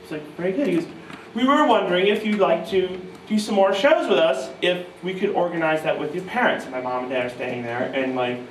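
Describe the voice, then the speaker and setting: A man in his thirties from New York state, telling animated, self-mocking stories to a live audience at a guitar clinic. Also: sits high, fairly quick, noisy background. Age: 30s. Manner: animated, self-mocking, mimics voices in quotes. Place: music store clinic room, audience present. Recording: roomy live sound from far off.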